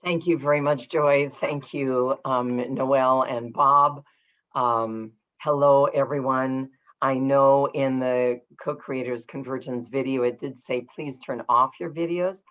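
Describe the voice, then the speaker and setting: A woman guest speaker beginning her remarks on a video call, unhurried and hesitant with fillers. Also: a bass voice, steady tone. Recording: tinny phone-like line, distant from the mic.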